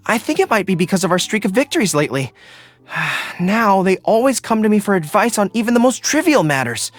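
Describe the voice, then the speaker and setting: A man voicing an earnest, dutiful young dog-eared general in a video game, with crisp military diction. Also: high-pitched. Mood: modest, candid. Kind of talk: video game character voice acting.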